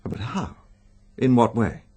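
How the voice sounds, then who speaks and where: A man's voice: mid-range voice, lively intonation, slow pace, mellow, slightly rough. A British actor playing a sceptical, guarded man who asks probing questions in a radio drama. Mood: curious. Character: probing, sceptical man drawn to dark secrets.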